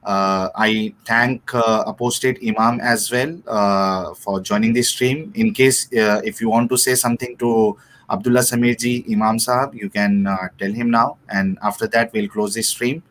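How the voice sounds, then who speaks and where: A man with an Indian accent hosting a livestream off the cuff, speaking politely and haltingly with formal forms of address. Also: on the low side, steady tone, a bit bright. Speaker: livestream host.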